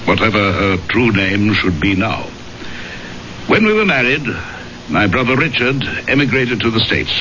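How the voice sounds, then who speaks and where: An actor playing a proud, grim baronet in a radio drama, speaking formally and haughtily. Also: male voice, fairly low pitch, big pitch swings, normal speed, bright, forceful tone. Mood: proud, bitter. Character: proud, grim English baronet, powerful and embittered. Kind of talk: 1970s radio drama acting. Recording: muffled vintage radio broadcast, faint noise.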